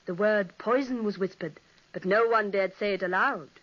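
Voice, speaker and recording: A woman's voice: medium pitch, moderate pace, lo-fi sound, natural voice. An actress playing a young woman telling a ghost legend dramatically in an old radio play. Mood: spooky. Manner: dramatic storytelling. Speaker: storytelling sister. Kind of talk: 1940s radio acting.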